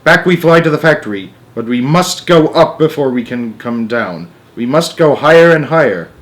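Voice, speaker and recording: A man voicing an eccentric, excitable factory owner in a storybook, breezy, theatrical and grandly polite. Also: medium pitch, normal speed, close to an everyday voice. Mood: excited urgency.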